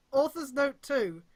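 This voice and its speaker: A woman's voice: high-pitched, a little mellow. A woman reading fan fiction aloud on a stream, breaking off with exasperated mocking comments. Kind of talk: live dramatic read-aloud with mocking commentary.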